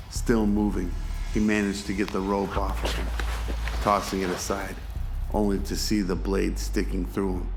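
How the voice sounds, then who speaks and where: Low, even-voiced male drama narrator. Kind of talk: scripted action narration, radio drama. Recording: loud music behind the voice.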